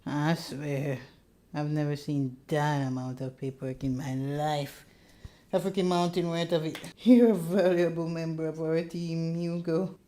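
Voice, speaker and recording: A woman's voice: deep voice, dark tone. A woman voicing a world-weary, grumbling male private investigator of thirty in a visual novel.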